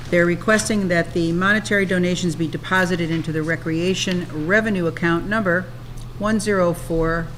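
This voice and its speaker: A woman on a town council making formal motions, reading agenda items in a measured way with small hesitations. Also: on the low side, a little hiss or hum, rough recording.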